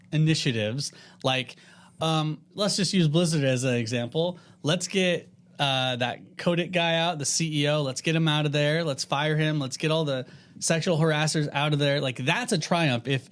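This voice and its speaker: A man's voice: a little high, normal speed. A man co-hosting a podcast in casual chat, teasing and skeptical as he argues. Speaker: podcast co-host.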